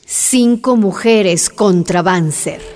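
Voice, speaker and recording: A woman's voice: mid-range voice, slow pace, darkish tone. A woman narrating a scripted radio drama.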